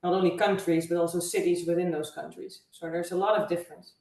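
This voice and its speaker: A woman's voice: fairly low pitch, steady tone, darkish tone. Dutch-accented woman in an echoey room. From Netherlands. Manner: measured, thoughtful, with frequent uh fillers. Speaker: guest, travel agency owner. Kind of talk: interview answers. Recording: echoey room, far from mic.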